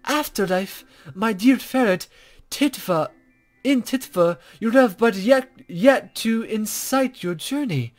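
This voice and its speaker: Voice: medium pitch, lively intonation, slow pace, soft background music. A player voicing a serene, coy female spirit guide from a visual novel, speaking in formal, teasing riddles. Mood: solemn, cryptic. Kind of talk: visual novel character read-aloud.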